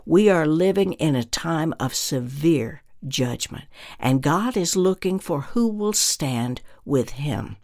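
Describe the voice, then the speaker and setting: A minister delivering a scripted religious teaching in a deliberate, earnest way, with emphatic phrasing. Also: medium pitch, expressive, darkish tone.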